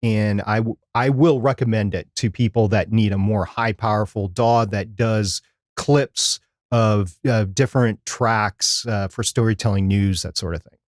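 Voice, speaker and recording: A man's voice: on the low side, fairly even intonation, unhurried, dry recording, clean studio sound. A man co-hosting a podcast, giving his opinions in a casual live discussion. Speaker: podcast co-host.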